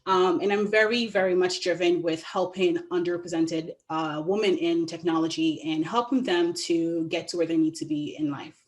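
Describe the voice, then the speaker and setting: A woman's voice: lowish voice, fairly even intonation, normal speed, hardly any pauses. A young woman in her twenties from Jamaica introducing herself on a panel over a video call, upbeat and earnest. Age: 20s.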